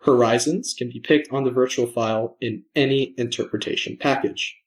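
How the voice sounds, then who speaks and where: A man presenting a software demo in scripted narration, measured and explanatory, enunciating his words. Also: medium pitch, fairly even intonation, low-quality recording.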